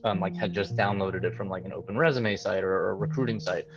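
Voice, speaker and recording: A man's voice: deep voice, steady tone, a little fast, highs cut off. A man from the New York area, a guest recounting a job interview in a casual, conversational way with frequent fillers and restarts. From New York area, USA. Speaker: interview guest, tech hiring manager.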